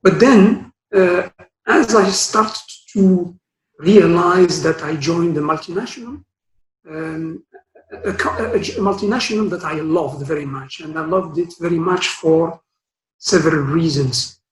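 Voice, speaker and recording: A man in his sixties from Morocco, a retired guest speaker telling his career story with many pauses and fillers. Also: a little high. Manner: hesitant, many fillers and restarts. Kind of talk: career talk. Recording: echoey room.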